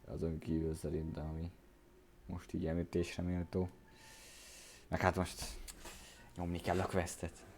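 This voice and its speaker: Male game streamer, very low voice, casual commentary, slight background noise. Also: monotone, normal speed, pauses often, darkish tone.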